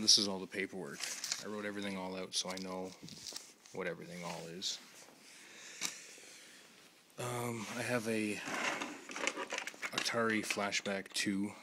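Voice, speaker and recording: A man with a hoarse voice talking quietly and rambling with many ums as he lists items for his vlog. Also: lowish voice, fairly even intonation, a little slow, long pauses, very noisy. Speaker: video collector host. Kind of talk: casual vlog, listing items.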